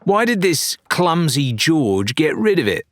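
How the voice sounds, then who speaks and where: A man's voice: on the high side, sing-song, unhurried, pitched above the performer's own voice. A man voicing a brusque, impatient young female pirate in an audiobook, challenging and sarcastic. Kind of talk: dramatised audiobook character dialogue.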